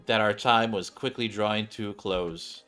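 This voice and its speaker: A man's voice: fairly low pitch, moderate pace, a bit bright, smooth. Man reading game story aloud over music. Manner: stumbles over words and rereads. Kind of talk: gaming playthrough, dramatic read-aloud of story text. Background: video game music.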